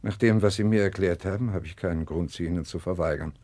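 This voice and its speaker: A formal public prosecutor in a vintage German radio play. His voice is deep, recorded dry and close. He talks in a measured, bureaucratic way, coolly skeptical. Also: dark tone, no room sound. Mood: dry, official. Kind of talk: 1960s German radio drama acting.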